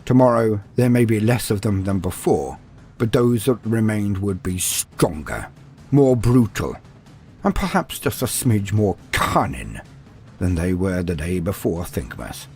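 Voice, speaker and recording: A man's voice: lowish voice, expressive, a little slow, a little mellow, husky. A man giving a scripted, dramatic narration of fantasy war lore. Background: music.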